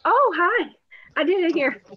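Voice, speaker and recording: A woman who has worked in long-term care, introducing herself in a quick, chatty way on a webinar. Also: very high voice, animated. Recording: dry, lo-fi video call audio.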